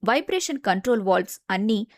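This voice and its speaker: A woman's voice: medium pitch, lively intonation, fast, dry recording. A woman narrator reading a scripted family story in a dramatic storytelling style.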